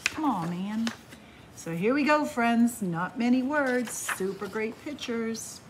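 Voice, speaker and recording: A woman's voice: on the high side, expressive, takes it slowly, noisy background. A woman reading a picture book aloud at story time, playfully talking straight to young children in a sing-song way. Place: public library room among bookshelves.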